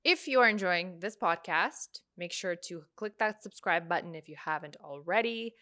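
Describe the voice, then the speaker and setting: A chatty woman hosting her own podcast, her first language Russian. Her voice is bright and a little low, and she muses aloud, trailing off into fillers as she reacts to clips. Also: animated, normal speed. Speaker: podcast host. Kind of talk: casual reaction commentary.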